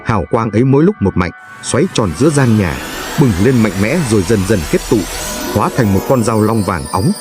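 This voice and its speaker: A man's voice: lowish voice, animated, fairly quick, music in the background. A man narrating a folk tale as a scripted story, in a solemn, emotive and dramatic manner.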